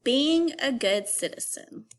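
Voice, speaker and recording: Female primary teacher for a kids' read-aloud: higher voice, very animated intonation, instructional, questioning the listener directly. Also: takes it slowly. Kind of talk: educational read-aloud for young children.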